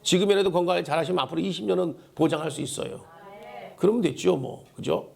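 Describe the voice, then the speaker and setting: A male pastor delivers a church sermon in a high, worn voice. It is hoarse and heavily creaky. He exhorts emphatically, driving key phrases home by repeating them. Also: quick pace, a little mellow. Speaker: pastor preaching a Sunday sermon. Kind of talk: Korean church sermon with call-and-response prompts. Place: church service.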